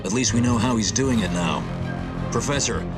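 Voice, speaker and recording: A man playing an authoritative military captain in a video game, giving orders in a measured, commanding manner. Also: fairly low pitch, loud music behind the voice, natural voice. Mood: serious.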